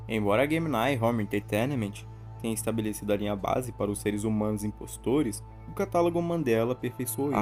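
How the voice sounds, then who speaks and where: A man's voice: mid-range voice, darkish tone. A man with a Brazilian accent reading scripted narration in a documentary-style video essay.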